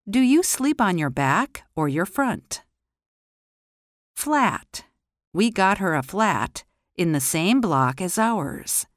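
A woman teaching pronunciation, carefully enunciating single words and example sentences in a slow, deliberate drill. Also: medium pitch, no room sound, studio quality.

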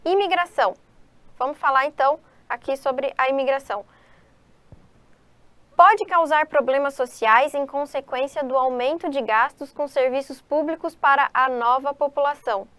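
High-voiced woman teaching exam questions. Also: normal speed, a bit bright, no echo. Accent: Brazilian Portuguese. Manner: explanatory, addresses students, asks and answers own questions. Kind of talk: exam-prep lesson, reading and explaining questions.

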